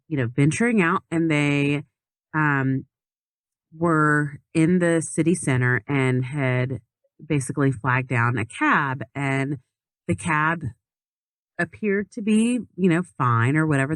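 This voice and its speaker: A woman's voice: deep voice, a little slow, dry recording. A woman recounting a trip in loose, chatty podcast talk. She circles back, restarts her sentences and fills gaps with ums. The mic is close and dry, with no room sound. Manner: rambling storytelling with many fillers and restarts. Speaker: podcast guest telling a story. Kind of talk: casual chat.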